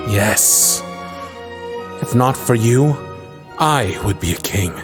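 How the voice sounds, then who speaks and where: A man's voice: lowish voice, lively intonation, slow pace, music in the background. A man playing a bitter, scornful younger prince in a fantasy audio drama, brooding and theatrical, full of contempt. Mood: defiant.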